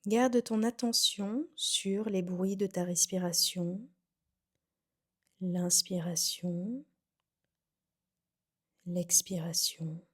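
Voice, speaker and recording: A woman guiding a relaxation session as a yoga nidra instructor, soothing and unhurried, with phrases that trail off softly. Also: on the low side, fairly even intonation, breathy edge. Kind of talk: guided meditation, calm instruction.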